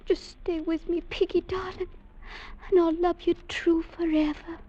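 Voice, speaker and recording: A sweet young girl, pleading and tender with worry. The voice is extremely high yet soft and mellow, caught on a dull vintage radio-drama recording. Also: level tone, measured pace. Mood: anxious, tender. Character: sweet, anxious young girl in love with a soldier boy. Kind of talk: old-time radio drama acting.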